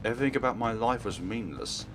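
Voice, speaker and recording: A man voicing a cold, grieving boy killer from a visual novel, grave and deliberate in his speech. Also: mid-range voice, natural voice. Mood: bitter, resigned. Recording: music playing behind.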